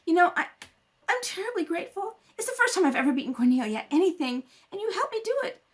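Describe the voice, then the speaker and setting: A woman plays an eager young heiress from an old radio comedy. Her high, swooping voice gushes with breathless, scatterbrained sincerity. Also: big pitch swings, fast. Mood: eager, gushing. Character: impulsive, gushing young society heiress. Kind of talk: 1930s radio comedy read-through.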